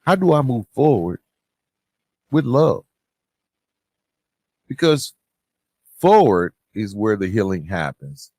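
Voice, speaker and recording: A man hosting a solo podcast, giving advice and encouragement in an earnest, deliberate way with emphatic phrasing. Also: lowish voice, expressive, darkish tone, dry recording. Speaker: podcast host.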